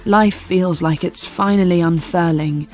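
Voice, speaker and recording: A woman's voice: lowish voice, fairly even intonation, unhurried, darkish tone, faint music behind, lightly acted, poor audio. An actress playing a dry, sardonic, composed young woman killer narrating her story in an intimate radio drama. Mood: relieved, content.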